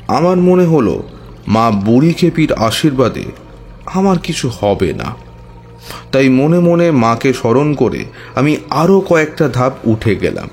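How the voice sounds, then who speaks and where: An actor playing a tantric who recounts his own eerie adventures in a suspenseful horror audio story, with hesitant pauses. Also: medium pitch, close to an everyday voice.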